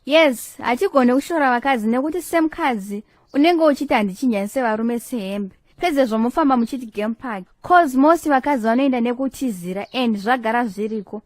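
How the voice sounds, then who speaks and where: Outspoken cartoon woman, played by a woman: very quick talker, Shona mixed with English, dry recording. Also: fairly high pitch, no echo, close to an everyday voice. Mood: scolding.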